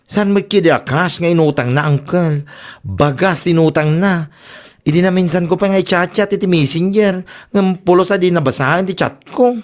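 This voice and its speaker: A woman's voice: fairly low pitch, moderate pace, lightly acted. A woman with a Filipino Ilocano accent playing a grumbling neighbour who lends and chases debts, in a comedy radio drama. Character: grumbling female neighbour, lender chasing unpaid debts.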